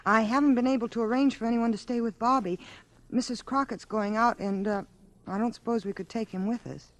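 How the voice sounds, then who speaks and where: A woman's voice: a little high, steady tone, a little fast, no echo, close to an everyday voice. An actress playing a polite American mother in a radio drama, with a courteous, slightly hesitant manner. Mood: apologetic, courteous. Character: polite, apologetic American mother, young widow.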